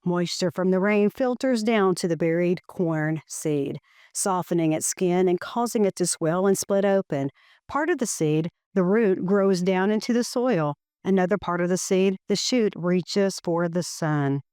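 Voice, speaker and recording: A woman reads a children's educational picture book aloud in measured, deliberate phrasing. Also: on the low side, darkish tone, clear, resonant tone, no room sound, clean studio sound.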